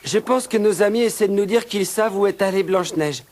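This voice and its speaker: A man voicing small, excitable male dwarves in a cartoon, squeaky and hurried. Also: background noise, lightly acted. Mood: eager.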